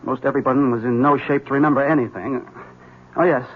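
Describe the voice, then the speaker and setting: A man's voice: medium pitch, steady tone, fairly quick, breathy edge, natural voice. An actor playing a haunted, desperate man who urgently warns a rival, in a 1970s radio drama. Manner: tense, urgent, bursts of agitation. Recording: dull 1970s radio broadcast.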